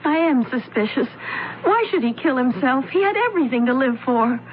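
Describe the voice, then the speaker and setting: An actress playing an earnest, anxious young opera student in an old-time radio mystery, with careful, dramatic diction. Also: female voice, high voice, animated, moderate pace. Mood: distressed, suspicious. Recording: narrow, tinny old radio recording, hiss.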